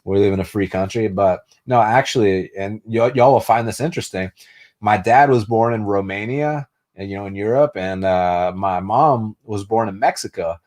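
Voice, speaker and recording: A man's voice: lowish voice, normal speed, darkish tone. A young man hosting a casual live stream, answering viewer comments in a loose, profane way full of fillers and restarts. He grew up speaking Spanish first and was raised in the United States. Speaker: host.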